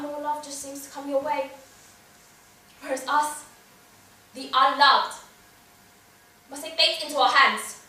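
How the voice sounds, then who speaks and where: High, echoey, bitter unloved wife played by a woman. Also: bright, forceful tone, exaggerated voice. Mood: bitter defiance. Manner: deliberate, dramatic, short weighted phrases. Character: bitter, unloved wife from old legend, wounded, defiant. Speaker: drama student performing an exam monologue. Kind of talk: theatrical stage monologue. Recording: very echoey room, distant mic, faint noise.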